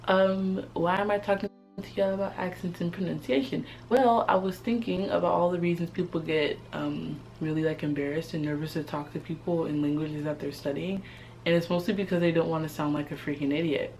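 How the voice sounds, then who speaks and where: Low-voiced, chatty American woman. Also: steady tone, brisk, a little mellow, faint music behind, poor audio. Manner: slangy and chatty, lots of "like". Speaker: language-learning vlogger. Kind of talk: casual vlog chat.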